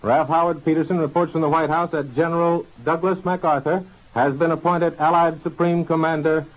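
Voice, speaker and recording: A man delivering a live 1940s network radio news bulletin with formal, deliberate announcing from a newsroom. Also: fairly high pitch, steady tone, low-quality recording. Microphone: broadcast studio microphone.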